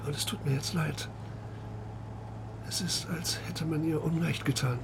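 An actor playing a grieving, troubled middle-aged father in a naturalistic radio drama, speaking in a halting, subdued way. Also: fairly high pitch, steady tone, gravelly, noisy background. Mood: regretful.

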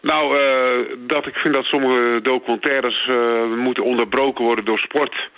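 A man with a Dutch accent phoning in to a radio show, giving his complaints in a halting, hesitant way over a telephone line. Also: medium pitch, steady tone. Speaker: radio phone-in caller. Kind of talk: spontaneous opinion on a phone-in. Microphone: telephone handset. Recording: tinny narrow phone line.

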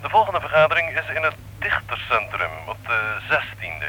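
Radio drama phone caller: polite, flattering club treasurer, very forceful bright tone, lively intonation. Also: male voice, fairly high pitch, normal speed, a little hiss or hum, low-quality recording. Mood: courteous, flattering. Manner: polite, deferential, chummy. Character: courteous, flattering male club treasurer on the telephone. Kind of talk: radio play acting.

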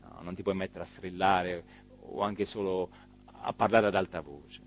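An actor playing a rueful, self-important small-town mayor in a rambling, hesitant theatrical monologue. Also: male voice, sits low, fairly even intonation, a little hiss or hum. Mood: wistful, resigned. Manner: rambling, hesitant, emphatic, with repeated phrases and pauses. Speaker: stage actor performing a radio theatre monologue.

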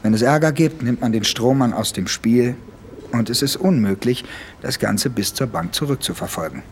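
A man's voice: mid-range voice, expressive, normal speed, a little hiss or hum, close to an everyday voice. An actor playing a male police investigator in a radio drama, explaining things methodically. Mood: explanatory, assured.

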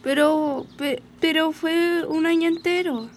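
Woman acting as high-voiced impatient little girl. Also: fairly even intonation, takes it slowly. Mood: pleading. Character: impatient, clingy little girl, youngest sister. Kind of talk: radio drama acting.

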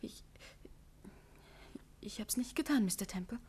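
An actress playing a nervous, evasive young woman in a 1950s German radio drama, hesitant and defensive, sometimes dropping to a breathy whisper. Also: mid-range voice, lively intonation, a little slow, darkish tone. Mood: frightened, defensive.

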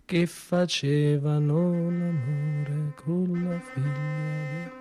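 A man voicing a grieving woman who murmurs a nursery rhyme obsessively, hushed and breathy, in drawn-out singsong. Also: fairly high pitch, flat delivery, music in the background, close to an everyday voice. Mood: eerie, murmuring. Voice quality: hushed, breathy, half-whispered. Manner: very slow, drawn-out singsong chanting.

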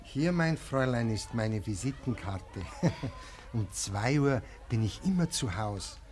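A man's voice: medium pitch, expressive, measured pace, darkish tone, natural voice. Man acting a suave, flirtatious city baron. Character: smooth, flirtatious male city gentleman, a baron. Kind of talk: 1950s radio play acting.

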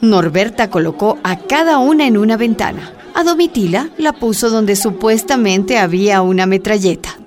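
A woman's voice: mid-range voice, lively intonation, normal speed, hardly any pauses, a little mellow, some chatter behind. A woman giving dramatic scripted narration for a radio drama.

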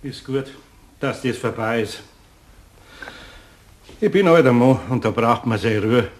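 An actor playing an older master carpenter with a Bavarian accent, gruff and resigned, muttering hesitantly in a German radio play. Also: male voice, mid-range voice, a little slow, lightly acted. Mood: resigned, grumbling.

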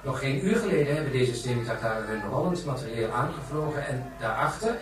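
An actor playing a fluent live news reporter, describing events in a science fiction radio play. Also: male voice, medium pitch, animated, fairly quick, natural voice. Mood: excited reporting. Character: live TV news reporter on Mars, brisk commentator. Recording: echoey, distant, loud music behind.

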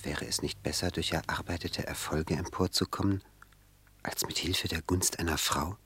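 A proud, poor young man in a radio drama. His low, husky voice is introspective and brooding, full of ambition. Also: steady tone, moderate pace, a bit bright, heavily acted. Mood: brooding, bitter. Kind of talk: German radio drama acting.

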